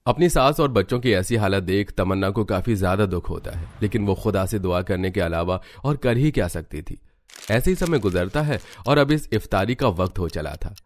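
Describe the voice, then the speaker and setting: A man's voice: on the low side, expressive, moderate pace. A man narrating a scripted children's cartoon story.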